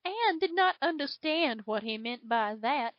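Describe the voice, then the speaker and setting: A woman's voice: high voice, animated, measured pace, no room sound, highs cut off. A woman voicing a drawling southern dragon. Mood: dramatic. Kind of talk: reading fan fiction aloud.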